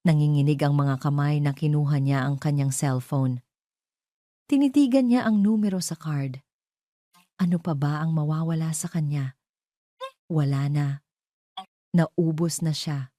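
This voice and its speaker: A woman's voice: low-pitched, unhurried, pauses often, dark tone, no echo, studio quality. A woman with a Filipino accent reading a scripted, dramatic story as an audio drama narrator.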